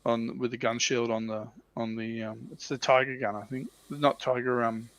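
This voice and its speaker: A man's voice: medium pitch, little pitch movement, moderate pace, no room sound. A man on a livestream panel in casual hobby chat, hesitant and thinking aloud. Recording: dry, dull lo-fi microphone sound.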